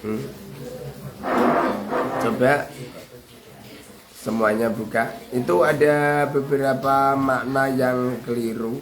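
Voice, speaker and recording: Male religious teacher with a Javanese accent, casual and joking, mid-pitched, roomy recording. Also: fairly even intonation, slow, long pauses, noisy background. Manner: chatty, joking, reels off long lists. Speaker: Islamic boarding school teacher. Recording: roomy with faint ambience.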